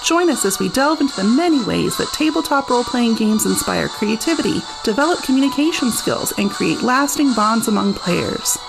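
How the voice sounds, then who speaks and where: A woman's voice: on the high side, expressive, normal speed, creaky, few pauses, poor audio. A woman reading a scripted podcast intro voice-over in a polished promotional way. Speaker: show announcer. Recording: voice-over over music bed. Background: music.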